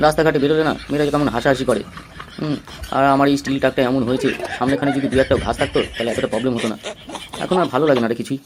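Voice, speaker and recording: A man's voice: mid-range voice, steady tone, rapid-fire, background noise, close to an everyday voice. A man playing a glum, self-pitying balding friend in his thirties, grumbling plaintively in an amateur comedy cartoon.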